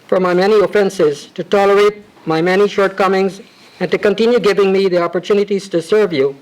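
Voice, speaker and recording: A man reading a formal devotional tribute letter to his spiritual teacher, measured and reverent, with ornate phrasing. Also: high-pitched, rough recording. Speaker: disciple reading a homage.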